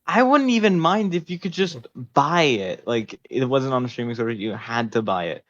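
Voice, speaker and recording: A man's voice: medium pitch, expressive, moderate pace, a little hiss or hum. A man chatting casually and bluntly as a gaming podcast co-host, slangy and repetitive, over a dull lo-fi connection. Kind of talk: casual chat about video games.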